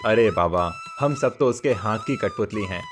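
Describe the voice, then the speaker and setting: Man as a kindly, expressive village farmer. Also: fairly low pitch, normal speed, soft background music, close to an everyday voice. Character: kindly humble village farmer, caring father. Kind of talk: Hindi moral cartoon story dubbing.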